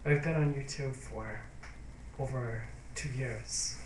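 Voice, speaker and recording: A man of middle pitch, rambling through a personal video diary. He hesitates, circles back and sounds sentimental and confiding. The room gives his voice a noticeable echo, with faint background noise. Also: takes it slowly, far from the mic. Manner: rambling, hesitant, full of fillers and restarts. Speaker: YouTube vlogger. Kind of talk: casual diary vlog. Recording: roomy echo.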